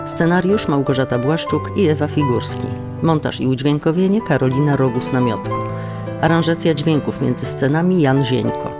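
A man's voice: on the high side, moderate pace. A man reading the closing credits of an audio drama as a scripted announcement. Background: loud instrumental music.

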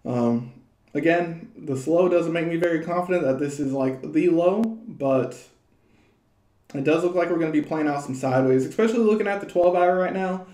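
A young man giving casual, rambling crypto chart commentary from a small room at home, his voice a little hoarse, with plenty of fillers and restarts. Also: on the high side, normal speed, warm tone, echoey room. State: slightly hoarse.

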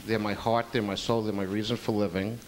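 A man's voice: on the low side, a little fast. A middle-aged man from Queens, doing a self-deprecating stand-up set on a small club stage into a handheld mic, the audience laughing. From Queens, New York. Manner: self-deprecating, deadpan delivery. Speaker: stand-up comedian. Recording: loud noisy live club recording.

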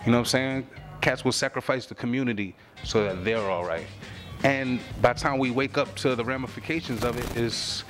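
A man's voice: mid-range voice, fairly quick, fairly bright tone, soft background music. A middle-aged man, a rapper, talking earnestly and reflectively in a casual interview, with a lot of "you know what I'm saying". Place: lounge room on a leather couch. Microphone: handheld mic held by interviewer.

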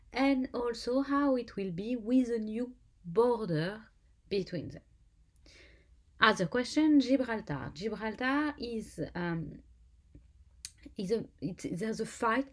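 French-accented woman teaching legal English. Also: fairly high pitch. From France. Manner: halting, self-correcting, with frequent restarts. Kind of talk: lecture-style explainer over slides. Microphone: voice-over.

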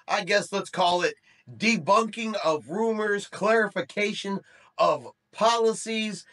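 A man's voice: sits high, a bit bright. A middle-aged man presenting a news update to camera in a conversational, emphatic way, as the host of an online channel. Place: small room indoors.

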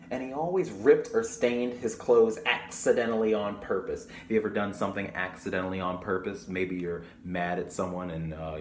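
A young man reading a picture book aloud to children, pausing to explain things simply and ask questions. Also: medium pitch. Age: young adult. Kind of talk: storytime read-aloud with explanatory asides. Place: small room at home, indoors. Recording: roomy echo with clear background noise.

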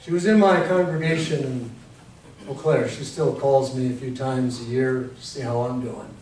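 An American man in his sixties preaching a sermon as a pastor, telling it conversationally in short, deliberate phrases. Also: mid-range voice, a little mellow. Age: 60s. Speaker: Lutheran pastor. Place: church sanctuary in front of a congregation. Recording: very echoey church hall, distant mic, faint noise.

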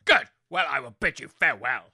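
A man's voice: medium pitch, expressive, fairly quick, vocal fry, pushed and bright, breathy edge, full character voice. A man voicing a bad-tempered, pompous aristocratic landowner, blustering and threatening, in a comedy audio drama.

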